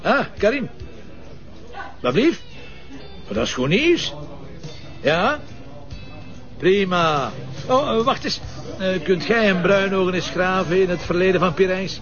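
An actor playing a confident, wry Flemish police inspector, brisk and conversational, in a naturalistic drama. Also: male voice, high voice, animated, fairly bright tone. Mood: brisk, pleased. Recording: dull lo-fi sound under music.

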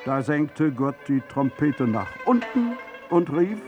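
A man narrates an experimental radio play theatrically. His voice is fairly high and moves through wide swings at a slow, declamatory pace. Music plays behind him. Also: very animated, lo-fi sound. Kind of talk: expressive scripted narration of an avant-garde radio play.

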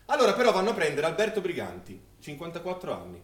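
A man's voice: on the high side, huge pitch swings. Solemn male witness in echoing space. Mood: grave, tense. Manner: solemn, declamatory, with deliberate pauses. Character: grave, anguished male witness-narrator of a wartime massacre. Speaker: stage actor narrating a play. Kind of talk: dramatic theatrical recitation of wartime testimony. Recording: very echoey, distant stage recording.

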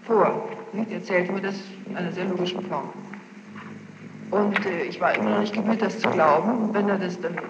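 A woman's voice: fairly low pitch, steady tone, fairly quick. A young adult woman giving spontaneous, insistent testimony as a witness, repeating words for emphasis. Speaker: witness being questioned. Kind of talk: spontaneous testimony recounting events. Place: reverberant room, taped interrogation. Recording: noisy, muffled, echoey archival tape.

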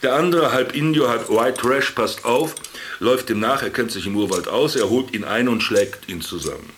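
A man's voice: mid-range voice, moderate pace, fairly bright tone, a little hiss or hum, close to an everyday voice. An actor playing a wry, cynical stranded businessman in a radio drama, ironic and patronizing as he talks. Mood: storytelling. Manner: ironic, patronizing, addresses the other formally. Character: Philipp, stranded ex-businessman, wry, garrulous, cynical camp companion.

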